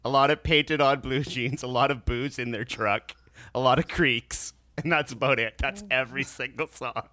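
A man with a bright, sharp voice chats on a podcast, quick to rant bluntly with sardonic, deadpan humor. He is recovering from sinus surgery. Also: mid-range voice. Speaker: podcast co-host. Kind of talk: casual podcast chat.

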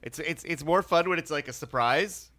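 A man with a bright, sharp voice chats on a podcast, quick to rant bluntly with sardonic, deadpan humor. He is recovering from sinus surgery. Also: on the high side. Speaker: podcast co-host. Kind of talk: casual podcast chat.

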